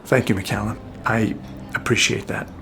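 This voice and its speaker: A man's voice: fairly low pitch, steady tone, normal speed, vocal fry. An actor playing a loyal, slightly awkward everyman in a naturalistic audio drama, speaking informally and wryly with some hesitation. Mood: earnest, worried. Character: warm, awkward, loyal everyman boat owner, male. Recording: loud music bed behind voice.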